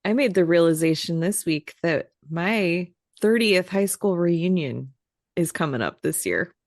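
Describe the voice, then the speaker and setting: A woman in her forties with a lowish voice that still moves a lot in pitch co-hosts a casual podcast. She talks in a matter-of-fact way, close to a dry microphone with no room sound. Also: lively intonation, measured pace, no echo. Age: 40s. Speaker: podcast co-host.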